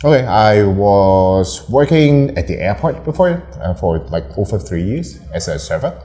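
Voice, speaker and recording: A man's voice: on the low side, expressive, moderate pace, dark tone. Laid-back, rambling young Hong Kong man. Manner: laughs often, rambling with many fillers and restarts. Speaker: guest photographer. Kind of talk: casual interview chat.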